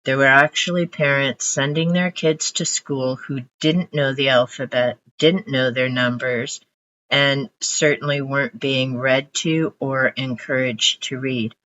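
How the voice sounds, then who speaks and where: A woman's voice: extremely low pitch, steady tone, fairly bright tone. An older woman whose first language is Hungarian, reflecting on her favourite books in a casual vlog to camera. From Canada. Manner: reflective, unhurried storytelling. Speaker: book vlogger. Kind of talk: casual book talk to camera. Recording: muffled lo-fi audio.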